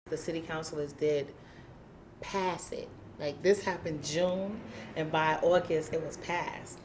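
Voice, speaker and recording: A woman's voice: sits low, a little mellow, a little hiss or hum. A woman, a community housing activist, telling her story candidly in an interview, emphatic and plain-spoken, acting out what people said.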